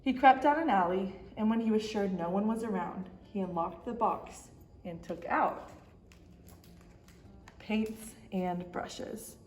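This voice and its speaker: A woman narrates a children's picture book with expression. Her pitch sits in the middle range, and the room around her voice echoes. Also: slow, a little mellow. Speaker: story time reader. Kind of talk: expressive children's storybook reading.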